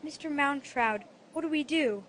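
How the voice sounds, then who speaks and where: A woman's voice: sits high, normal speed, heavy background noise, lightly acted. A woman voicing an anxious, earnest young boy on a spooky adventure in an audio drama. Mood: worried. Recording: loud music behind the voice.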